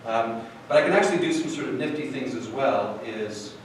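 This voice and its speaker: A man's voice: mid-range voice, lively intonation, normal speed. A man giving a live product demonstration, rambling with many fillers and restarts. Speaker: product demo presenter. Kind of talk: live tech demo, casual. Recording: echoey hall from far off, noisy.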